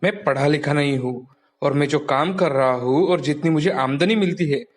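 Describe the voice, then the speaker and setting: Man acting humble high-voiced husband. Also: moderate pace, lightly acted. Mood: earnest. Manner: plain-spoken, advising. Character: humble, content husband, patient moral adviser. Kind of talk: animated moral-story character dialogue.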